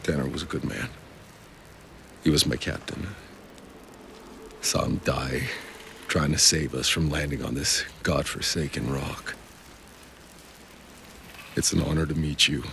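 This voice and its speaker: An actor playing a gruff, terse veteran soldier with a gravelly voice in a video game cutscene. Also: male voice, very deep voice, fairly even intonation, a little slow, long pauses, bright, forceful tone, a little hiss or hum, full character voice. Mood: solemn. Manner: terse, blunt, swearing. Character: grizzled veteran outrider soldier, gruff male survivor.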